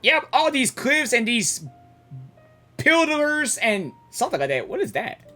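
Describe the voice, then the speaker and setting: A woman gaming streamer giving casual live reactions in an informal, halting, exclamatory way. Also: a little high, big pitch swings, sharp tone, soft background music.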